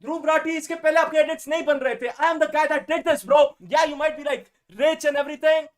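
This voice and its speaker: A young man talks to his live-stream audience in a strikingly high voice. He drawls his words slowly. His banter is profane, joking and loud. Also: little pitch movement. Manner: loud, profane, joking, mixes Hindi and English, says bro a lot. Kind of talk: casual live-stream chat.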